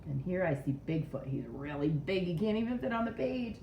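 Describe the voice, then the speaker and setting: A woman's voice: on the low side, big pitch swings, fairly quick, distant mic. A woman reading a picture book aloud to young children and explaining it as she goes. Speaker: storybook read-aloud reader. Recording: roomy echo, dull sound, faint noise.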